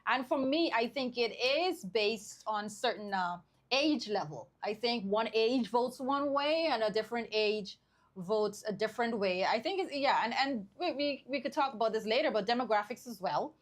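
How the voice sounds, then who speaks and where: A woman co-hosts a casual talk show with a fairly high voice. She reasons out loud, pausing and restarting her sentences as she builds her point. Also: lively intonation. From Bahamas. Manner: thinks aloud, hesitant restarts. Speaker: co-host.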